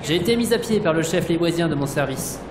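A woman's voice: low voice, lively intonation, fast talker, noisy background. A woman playing an earnest, defensive male scientist of small stature in an audio drama, speaking in formal, wordy phrases. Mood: formal, reserved.

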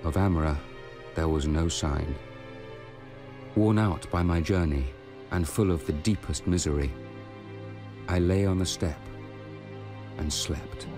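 An actor playing a weary, grieving young Judean nobleman who narrates his past in a radio drama, measured and solemn. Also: sits low, over music. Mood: weary, sorrowful.

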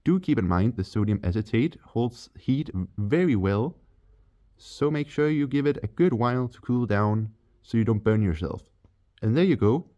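A man's voice: medium pitch, mellow, highs cut off. A man from Denmark with a Danish accent, giving a step-by-step chemistry voice-over for his channel. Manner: plain, step-by-step instructional. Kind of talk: scripted voice-over tutorial.

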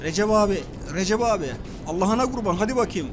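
A man giving excited, casual play-along commentary for a children's toy video, often repeating short phrases. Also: high-pitched, fast, faint music behind.

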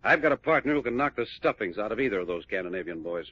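Radio serial lead: commanding, wry American tough guy, lively inflection, dull vintage sound. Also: fairly low pitch, fast talker, slightly rough, exaggerated voice. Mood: persuasive. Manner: commanding, sardonic. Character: brash, bossy, wisecracking male adventurer, unflappably in command. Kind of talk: old-time radio drama acting. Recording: muffled vintage radio recording.

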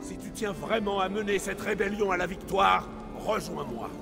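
A proud Spartan war commander with a gravelly, fairly high male voice. He speaks bluntly and impatiently, a hot-headed soldier in a game drama, with loud music behind. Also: moderate pace, in a large hall. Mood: angry, defiant. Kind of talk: video game voice acting in French.

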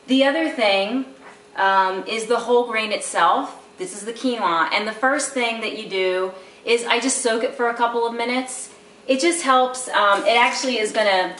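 A woman's voice: on the high side, fairly bright tone. Middle-aged woman, home cooking host, chatty how-to delivery, picked up from across an echoey kitchen. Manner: chatty, instructive, fillers and asides. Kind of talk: casual cooking demonstration. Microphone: camera mic from across the room. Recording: roomy, distant camera audio with light background noise.

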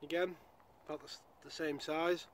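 A man's voice: a little high, level tone, normal speed, background noise. A young man chatting casually about the fish he catches, recorded outdoors on a pond bank with an action camera nearby. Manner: chatty, upbeat, with frequent fillers. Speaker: angler vlogger. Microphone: action camera mounted nearby.

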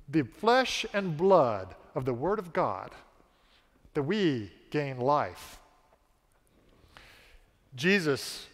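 A man in his forties preaching a sermon on a large church stage into a headset mic, slow and emphatic with dramatic pauses. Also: a little high. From American South. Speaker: pastor. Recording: long reverb of a church hall.

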